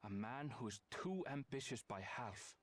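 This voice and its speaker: A man's voice: medium pitch, expressive, measured pace, close to an everyday voice. A man voicing a proud, aloof companion in a video game, with a formal, dry, disdainful manner. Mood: grave. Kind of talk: video game cutscene acting.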